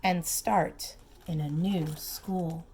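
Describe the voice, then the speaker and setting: A woman reading a storybook aloud to young children, expressive and emphatic, with simple asides and questions for the listeners. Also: fairly low pitch, a little hiss or hum. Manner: expressive, slow emphatic phrasing, simple child-directed comments. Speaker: preschool teacher reading aloud.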